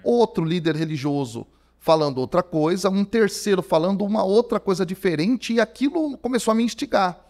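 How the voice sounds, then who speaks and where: A man's voice: high-pitched, fairly quick. A Brazilian man around forty, a theology professor talking as a podcast guest, telling his story casually with lots of fillers. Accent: Brazilian Portuguese. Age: 40s. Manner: animated storyteller, many fillers like "cara". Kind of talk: conversational podcast interview. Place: podcast studio. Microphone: studio microphone.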